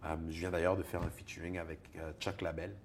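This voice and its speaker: Low baritone guy chatting in an interview, laughs a lot, repeats himself when he's thinking, slight background noise. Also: fast. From Togo. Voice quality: deep baritone. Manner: animated, laughs often, repeats words when searching. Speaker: singer-songwriter and poet guest. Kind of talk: conversational interview.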